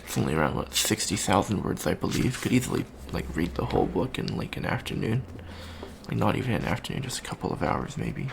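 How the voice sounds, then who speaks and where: A man playing an awkward, bookish young alien college student in an ASMR roleplay, talking softly and hesitantly with many ums and stammers. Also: fairly low pitch, sing-song, fast, creaky, noisy background, heavily acted. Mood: awkward, earnest. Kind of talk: soft-spoken ASMR roleplay.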